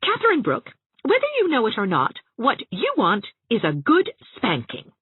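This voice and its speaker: Woman performing young woman, audiobook character, high voice, animated swings, outspoken, gushing, earnest. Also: normal speed, breathy edge, no room sound, phone-quality sound, heavily acted. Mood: exasperated, firm. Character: warm, rapturous, outspoken young woman visitor.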